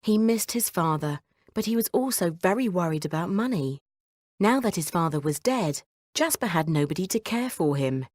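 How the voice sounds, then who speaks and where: A woman's voice: on the low side, expressive, moderate pace, a little mellow, no room sound, clean studio sound. A woman narrating a scripted bedtime story for children as the storyteller.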